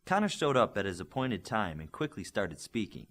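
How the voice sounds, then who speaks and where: A man's voice: lowish voice, very animated, moderate pace, a bit bright. A man reading fiction aloud as scripted audiobook narration, voicing dialogue along the way.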